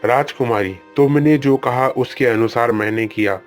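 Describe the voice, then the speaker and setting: A man's voice: mid-range voice, moderate pace, faint music behind, close to an everyday voice. A man voicing a worried old king who pleads with his guru and scolds his daughter in a cartoon story. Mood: stern. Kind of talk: Hindi cartoon storybook voice acting.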